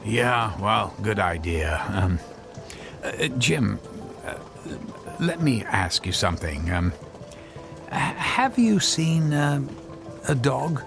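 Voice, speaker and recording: Hesitant, deep-voiced anxious husband. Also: male voice, sing-song, takes it slowly, gravelly, full character voice. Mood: nervous, evasive. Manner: hesitant, stammering. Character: anxious, apologetic husband and inventor of dimension-shifting device. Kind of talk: radio drama acting. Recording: music bed behind dialogue.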